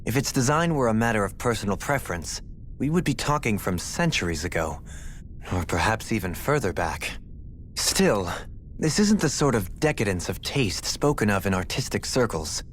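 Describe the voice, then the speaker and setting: A man's voice: fairly low pitch, sing-song, normal speed, a little hiss or hum. An actor playing a refined, scholarly man who talks in a formal, articulate, slightly lecturing way.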